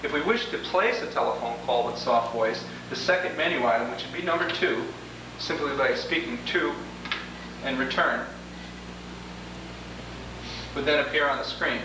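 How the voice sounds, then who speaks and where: A man giving a measured, slightly formal, explanatory demonstration of computer software, as a product demonstrator. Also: mid-range voice. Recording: distant, echoey, noisy 1980s demo tape with hum.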